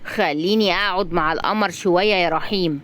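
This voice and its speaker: A woman's voice: fairly low pitch, a little fast, fairly bright tone, lightly acted. Woman acting a sly, menacing businessman. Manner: demanding, sly. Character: menacing, sly forty-year-old businessman, a villain.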